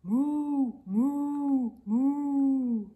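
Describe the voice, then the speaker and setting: A middle-aged woman reading a picture book aloud to young children in an expressive, sing-song way. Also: high voice, very slow. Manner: expressive, sing-song delivery with animal sounds and hushed asides. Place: living room or home library with bookshelves.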